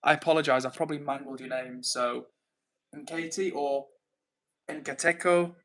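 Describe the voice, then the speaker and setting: A man's voice: on the high side, fairly even intonation, normal speed, fairly bright tone. A man from England with an English accent, hosting a casual livestream, reading out comments and rambling informally as he thinks aloud. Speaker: livestream host.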